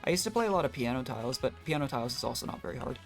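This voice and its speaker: Low-pitched-leaning none. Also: male voice, animated, rapid-fire, vocal fry. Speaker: game streamer. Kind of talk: casual live gaming commentary. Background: game music.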